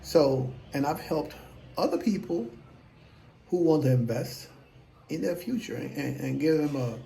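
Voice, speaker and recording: A man in his fifties with a gravelly, dark-toned voice talks straight to the camera about investing. He is blunt and self-assured and rambles from point to point. Faint noise sits behind him. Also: fairly high pitch, lively intonation, unhurried, low-quality recording. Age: 50s. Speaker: investing vlogger. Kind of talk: casual talk to camera.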